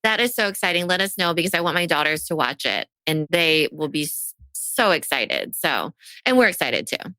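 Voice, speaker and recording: A woman's voice: sits low, animated, normal speed, sharp tone, dry recording, rough recording. A woman co-hosting a business podcast, asking questions with enthusiastic reactions. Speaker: podcast co-host. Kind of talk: casual interview chat.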